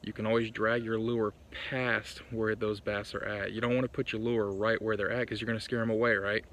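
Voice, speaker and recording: A young man talks straight to the camera in a chatty, upbeat way. His voice sits a bit low. It is recorded on a phone held at arm's length outdoors by a lake, with faint open-air ambience behind him. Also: little pitch movement, a little fast, fairly bright tone, a little hiss or hum. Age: young adult. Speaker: fishing vlogger. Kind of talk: casual outdoor tips vlog. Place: outdoors on a lakeshore at dawn.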